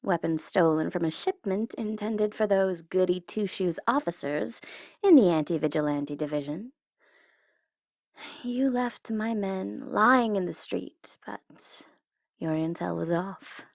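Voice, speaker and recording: A woman playing a teasing tsundere supervillain in an ASMR audio roleplay, condescending but easily flustered, sometimes slipping into soft whispers. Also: lowish voice, unhurried, vocal fry, no room sound, studio quality. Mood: gloating. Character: teasing, tsundere female supervillain flustered by her captive hero.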